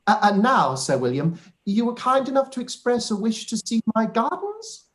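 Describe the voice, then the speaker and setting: A man's voice: very high voice, huge pitch swings, moderate pace, heavily acted. A man playing a pompous, obsequious clergyman in a radio play, wordy and effusive. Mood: gushing, boastful. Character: pompous, fawning male clergyman cousin, self-satisfied and obsequious. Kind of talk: radio play live read.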